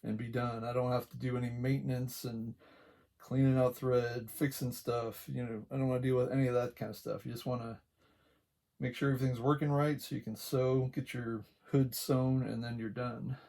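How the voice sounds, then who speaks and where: A quiet-voiced man giving a casual, unhurried hands-on tutorial, talking along as he works, with a gravelly voice. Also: mid-range voice, flat delivery. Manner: unhurried, talks along while working. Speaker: craft tutorial host.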